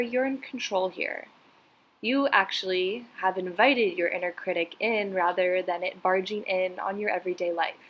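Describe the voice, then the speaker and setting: A woman guiding a meditation in a soothing, unhurried, instructional way. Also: medium pitch, a bit bright, low-quality recording. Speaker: meditation guide. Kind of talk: guided meditation narration.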